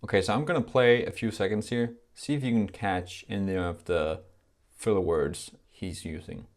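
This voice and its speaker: A man's voice: on the low side, normal speed. A young man from Denmark, speaking English, talks candidly and with self-deprecating humor to camera in a scripted vlog. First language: Danish. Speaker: YouTuber.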